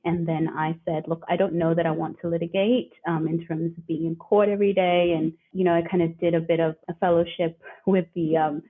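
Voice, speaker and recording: A woman's voice: on the low side, flat delivery, brisk, hardly any pauses. A woman from the northeastern United States, a guest on an interview podcast, speaking in a chatty, reflective way. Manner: chatty, reflective, light laughs. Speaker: guest, career coach. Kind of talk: conversational interview. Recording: tinny phone-like remote line.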